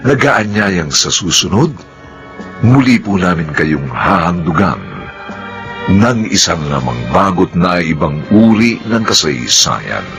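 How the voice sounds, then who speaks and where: A man announcing a radio drama as host, formally and dramatically, with measured delivery. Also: low-pitched, sing-song, over music.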